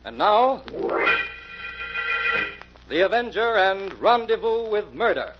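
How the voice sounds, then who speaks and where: A man's voice: high-pitched, sing-song, slow, loud music behind the voice. A man announcing a vintage radio mystery in a grand, declamatory scripted style. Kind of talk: dramatic 1940s radio announcing. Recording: muffled, hissy old radio recording.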